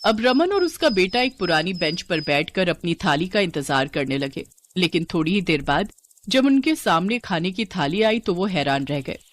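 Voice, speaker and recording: A woman's voice: fairly low pitch, animated, normal speed, a little hiss or hum. A woman narrator delivering a scripted moral story as storytelling narration.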